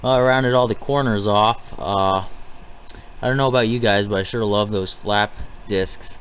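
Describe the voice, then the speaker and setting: Low-voiced man narrating a hands-on build. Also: steady tone, moderate pace. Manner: plainspoken, informal, addressing viewers as guys. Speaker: DIY truck restorer hosting his build videos. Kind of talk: casual hands-on how-to narration. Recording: dull lo-fi camera audio, light noise.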